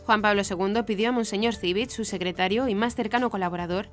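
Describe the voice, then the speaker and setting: A woman presenting scripted news in a formal, measured way. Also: medium pitch. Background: background music.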